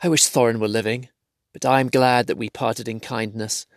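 A man's voice: mid-range voice, fairly even intonation, moderate pace, dry recording, lightly acted. A man voicing a small, kindly male hobbit who talks in an anxious, self-deprecating way. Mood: rueful. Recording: dry close recording.

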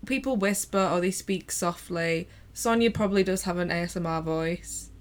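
A woman's voice: fairly low pitch, normal speed. A young woman co-hosting a podcast, chatting casually and giggling often, self-deprecating about her own speech. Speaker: podcast co-host. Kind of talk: casual chat.

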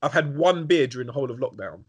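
A man, hosting a podcast in quick, laughing, chummy conversation. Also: mid-range voice, expressive, heavy background noise. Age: 30s. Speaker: podcast host. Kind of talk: casual chat.

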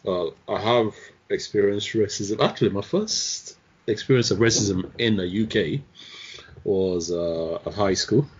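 A man in a casual group discussion, speaking in a measured, reflective way with frequent pauses. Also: fairly low pitch, slightly rough. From outside the UK. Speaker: panel guest. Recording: dull lo-fi sound.

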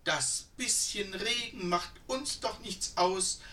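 A man's voice: high voice, slow pace, pushed and bright, roomy sound, far from the mic, pitched above the performer's own voice, heavily acted. A man voicing a tough, cheerful young woman hiker with an upbeat, encouraging manner.

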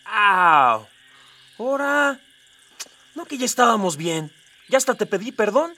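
A man's voice: high-pitched, big pitch swings, slow pace, background noise, full character voice. A man playing a bewildered, indignant police officer with a Mexican accent, flustered and colloquial, in a comedic radio drama. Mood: sheepish. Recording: distant miking, music underneath.

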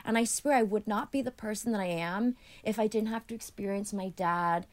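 A woman's voice: medium pitch, fairly quick. Young woman guest, remote call, talkative and filler-heavy, grew up speaking Greek. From Annapolis, Maryland, USA. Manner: chatty, many "like" fillers, animated when retelling. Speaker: podcast guest. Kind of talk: casual conversational interview. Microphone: remote call from home.

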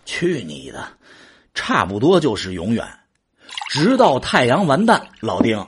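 An actor playing a boastful, defensive man bickering with a friend, husky and colloquial, in a sci-fi audio drama. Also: medium pitch, huge pitch swings, a little slow, slight background noise. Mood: expansive, boastful. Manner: colloquial, grumbling, exasperated.

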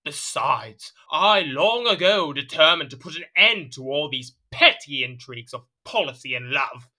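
Petulant, sharp-voiced, animated jealous king. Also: on the high side, normal speed. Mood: suspicious. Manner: imperious, indignant, theatrical. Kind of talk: audio drama period acting.

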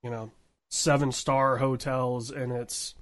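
A man hosting a podcast interview in a casual, informal way, with halting phrasing. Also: mid-range voice, level tone, fairly bright tone. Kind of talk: casual chat.

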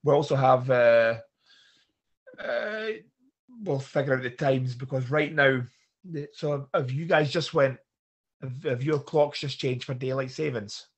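A man hosting a podcast, croaky from a slight cold, halting and full of fillers. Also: medium pitch, dull audio. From Scotland. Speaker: podcast host. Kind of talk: casual podcast chat.

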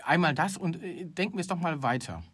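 A man's voice: a little high, lively intonation, a little fast, a bit bright, husky. A middle-aged man co-hosting a casual talk show, opinionated and emphatic, with many restarts. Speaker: podcast co-host. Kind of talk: casual chat.

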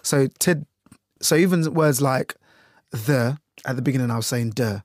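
A Jamaican man in a radio presenter's easy, loose chat, with a dry sense of humour. His voice sits at a middle pitch. The recording is close and dead dry, with no room sound. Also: moderate pace, dry recording. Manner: loose, dry and chatty, with frequent fillers. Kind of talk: relaxed interview chat.

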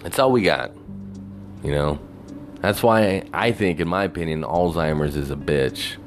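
A man with a very deep voice talks alone in a loose, meandering monologue, often checking in with "you know?" His tone is reflective and wistful. Soft music plays faintly underneath. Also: unhurried. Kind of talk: rambling personal monologue.

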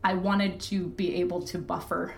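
A woman's voice: lowish voice, little pitch movement, moderate pace, slight background noise. Earnest woman podcast guest, echoey room. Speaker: podcast creator, interview guest. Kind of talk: candid interview conversation. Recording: roomy, echoey sound.

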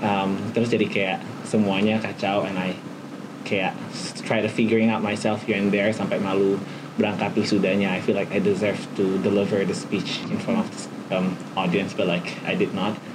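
A man in his late twenties reflecting candidly and haltingly, switching between Indonesian and English. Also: on the low side, flat delivery. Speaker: panel participant, entrepreneur. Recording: clear background noise.

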